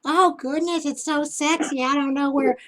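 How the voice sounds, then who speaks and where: Lady putting on a drawly country gal, high sweet voice, calls everyone sweetheart. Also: steady tone, moderate pace, natural voice. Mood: flirty. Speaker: scam baiter.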